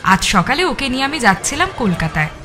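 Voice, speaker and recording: A woman's voice: on the high side, sing-song, a little fast, sharp tone, faint music behind. A woman playing a composed, cunning married artist under suspicion, explaining herself with assurance in an audio drama. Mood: self-assured explaining. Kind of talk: audio story character acting.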